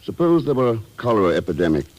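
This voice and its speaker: An actor playing a suave, wry secret agent, polite and dryly insinuating, in a 1940s radio drama. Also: male voice, on the low side, very animated, quick pace, a little mellow. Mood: veiled threat. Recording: noisy old radio recording, clicks.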